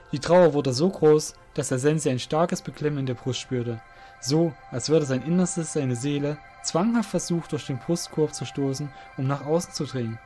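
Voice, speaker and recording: A man's voice: medium pitch, warm tone. A man narrating a scripted fantasy story as the narrator of an audio drama.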